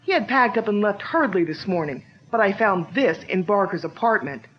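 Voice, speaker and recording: A woman's voice: mid-range voice, animated, brisk, a little hiss or hum, lightly acted. An actress playing an earnest, brave young woman on the trail of her father's killer, in a 1940s-style radio drama. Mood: earnest, urgent. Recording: dull lo-fi old radio recording.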